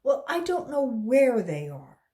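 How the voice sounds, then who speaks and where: A frightened little schoolgirl, anxious and close to tears, her voice rising high and pleading, as a woman reading a storybook puts her on. The pitch leaps around as she protests, and the delivery stays slow and drawn out. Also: big pitch swings, warm tone.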